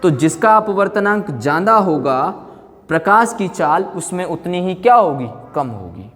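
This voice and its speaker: A man's voice: sits high, big pitch swings, unhurried, fairly bright tone, faint music behind. A man teaching an exam-coaching class informally, asking questions and answering them himself, repeating key phrases and prompting his students. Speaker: physics teacher. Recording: echoey hall-like room reverb.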